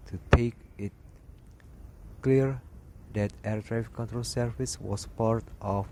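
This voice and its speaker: A man reads technical study notes aloud, slowly and haltingly, breaking off and restarting words. His voice sits fairly low. A clear hiss of noise runs under the recording. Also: warm tone, poor audio. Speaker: aviation instructor. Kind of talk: reading study material aloud.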